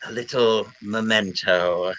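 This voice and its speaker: A man's voice: lowish voice, fairly even intonation, slow, fairly bright tone, poor audio. A man playing a suave, jovial older villain in an old-time radio drama, with a pompous, florid, theatrical delivery. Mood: jovial, grandiose.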